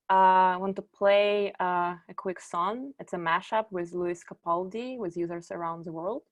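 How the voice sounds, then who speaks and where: A middle-aged woman from Ukraine gives a conference presentation. Her voice sits at a middle pitch. She explains in a measured way and lifts her voice on key words. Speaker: conference speaker, company executive.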